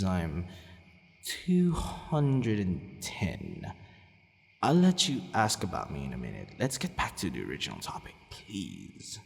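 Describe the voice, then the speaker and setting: A man playing a centuries-old, courteous but possessive vampire in an ASMR roleplay, deliberate and hesitant, sometimes murmuring almost to a whisper. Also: sits low, vocal fry, heavily acted. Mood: calm, mysterious. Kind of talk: ASMR roleplay addressed to the listener. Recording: long reverberant hall echo.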